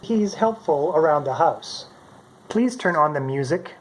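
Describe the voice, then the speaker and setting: A man's voice: on the high side, animated, a little slow, slight background noise, rough recording. A middle-aged man casually narrating a demonstration as a hobbyist maker. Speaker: maker presenting his homemade robot.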